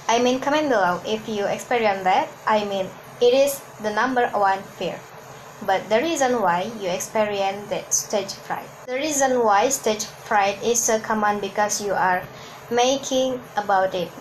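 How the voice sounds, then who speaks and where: A woman from Hong Kong, a native Cantonese speaker, coaches viewers on confident speaking in an earnest, steady way, often restarting a word mid-phrase. Her voice sits a little high, with a faint hum of room noise behind her. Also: poor audio. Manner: earnest, repeats and restarts words. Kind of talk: instructional talk to camera.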